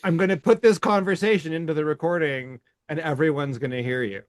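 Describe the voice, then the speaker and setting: A man co-hosting a podcast in casual chat, animated and laughing often, restarting his sentences as he talks. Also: fairly high pitch, a little fast, no room sound, studio quality. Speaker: podcast co-host.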